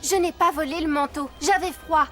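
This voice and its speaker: A woman's voice: high-pitched, steady tone, normal speed. A woman voicing a young girl in a French period cartoon. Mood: pleading, defiant. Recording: loud music over the voice.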